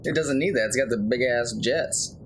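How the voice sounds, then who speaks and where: A man's voice: mid-range voice, moderate pace, slight background noise. A man giving casual co-commentary on a game in blunt, short remarks with colloquial grammar. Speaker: gaming video co-commentator. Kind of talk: casual chat over game cutscenes.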